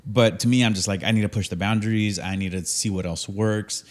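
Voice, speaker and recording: A man co-hosting a casual podcast. His voice sits on the low side, and his speech is loose and slangy, with some swearing. He tends to ramble, voicing his worries out loud. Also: fairly even intonation, brisk. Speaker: podcast host. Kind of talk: casual conversation.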